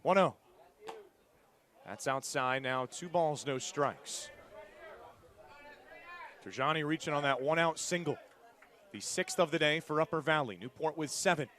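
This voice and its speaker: High, bright-voiced male play-by-play announcer. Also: unhurried, long pauses. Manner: punchy, clipped game-calling phrases. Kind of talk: live sports commentary. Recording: live stadium crowd behind the voice. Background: outdoor ballpark crowd.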